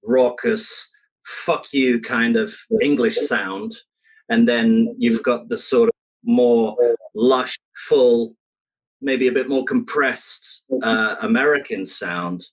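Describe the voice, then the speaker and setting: A man's voice: mid-range voice, fairly even intonation, slow pace, rough recording. A man from Belgium who works as a recording engineer, speaking casually and giving opinionated explanations, sometimes imitating sounds with his voice. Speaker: recording engineer, interview participant. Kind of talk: casual conversation.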